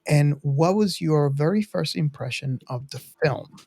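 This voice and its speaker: A podcast host in a casual film discussion, recorded dry and close. The voice sits at an unremarkable pitch and moves haltingly, pausing and restarting as it addresses co-hosts and listeners. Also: animated, darkish tone, dry recording.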